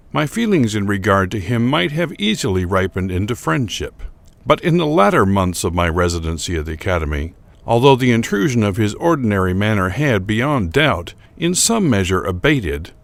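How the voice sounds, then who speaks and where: A male actor plays a troubled gentleman narrator of gothic horror, a man with a low voice that rises and falls widely. He recounts his past bitterly, in formal, deliberate phrases with a theatrical touch. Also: vocal fry. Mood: bitter, resentful. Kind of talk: dramatic first-person reading of gothic horror fiction.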